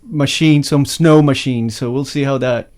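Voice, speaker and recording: A man from Venezuela, a guest violinist, chatting casually in an interview, with many pauses and fillers. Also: medium pitch, steady tone, darkish tone. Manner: halting, many fillers and restarts.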